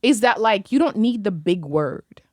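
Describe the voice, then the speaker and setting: A woman's voice: mid-range voice, expressive, a little slow, a little mellow, no room sound. Young woman, arabic first language, talks poetic and vivid into a studio mic, dry close sound. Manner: vivid, poetic and reflective, laughs easily. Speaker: poet and podcast guest. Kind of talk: casual conversation. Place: small studio room. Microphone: studio mic on stand, headphones.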